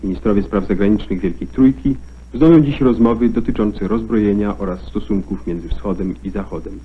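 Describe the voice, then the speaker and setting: Male newsreader, low husky voice, steady formal read; muffled old radio recording. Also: fast. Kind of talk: formal news reading.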